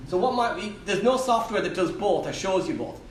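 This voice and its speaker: A man's voice: sits high, animated, fairly quick. An Irish man answering questions at a live property seminar, emphatic and repeating his key phrases. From Ireland. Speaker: property trainer on a Q&A panel. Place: live event room. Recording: echoey room, distant mic, faint noise.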